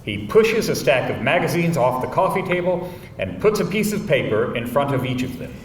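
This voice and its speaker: Guy reading screenplay directions out loud, lively delivery, echoey room with a bit of noise. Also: moderate pace. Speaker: narrator reading stage directions. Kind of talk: scripted narration at live table read. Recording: distant mic, roomy hall, faint rumble.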